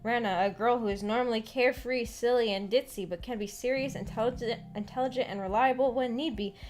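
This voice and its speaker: Woman, higher-pitched voice, casual streamer chat, animated, giggly, hesitant thinking aloud, faint background music. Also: fairly quick. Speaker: streamer hosting a visual novel book club discussion. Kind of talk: casual rambling discussion.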